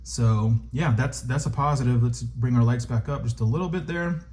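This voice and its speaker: A man with a dark, mellow voice reviews a toy for his viewers, casual and chatty. He sounds a little stuffy, getting over a cold. His delivery is eager and informal. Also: medium pitch, moderate pace. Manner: excited, chatty, addresses viewers as guys. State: stuffy, recovering from illness.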